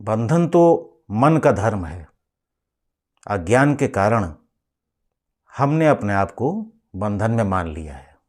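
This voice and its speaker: Male meditation guide and teacher: fairly low pitch, very unhurried pace, measured and instructive delivery with deliberate pauses. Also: sing-song. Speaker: professor leading a guided meditation.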